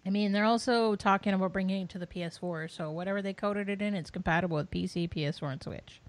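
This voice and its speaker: A woman's voice: medium pitch, quick pace, a little hiss or hum. A woman co-hosting a podcast and presenting game news in casual chat.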